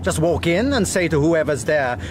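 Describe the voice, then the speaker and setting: A fussy grumbling old-rogue art dealer, middle aged, radio drama, voice jumps about, bit of background hiss. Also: male voice, fairly high pitch, sing-song, moderate pace. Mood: nervous reluctance.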